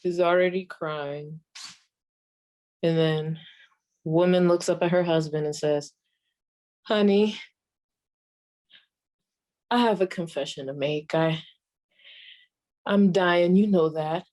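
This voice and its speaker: Woman playing a slow, sassy old radio comedienne. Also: on the low side. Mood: wry storytelling. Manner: folksy, deadpan, drawn-out delivery with deliberate pauses. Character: sassy old female stand-up comic of 1940s radio. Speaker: comedian performing a monologue. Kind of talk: stand-up storytelling in 1940s radio act.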